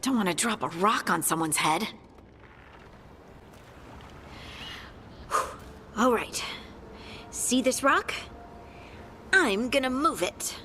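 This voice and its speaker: Casting: a young female game fighter, sincere and unsure of herself, unhurried delivery. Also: a little high, expressive, noisy background. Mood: self-doubting. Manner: hesitant, earnest. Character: earnest young female fighter unsure of her new magic. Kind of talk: video game cutscene acting.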